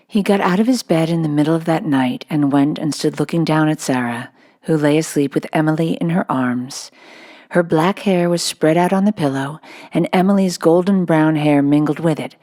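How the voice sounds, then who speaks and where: A woman's voice: low voice, dark tone. A woman reading a children's novel aloud as scripted narration. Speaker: storybook reader.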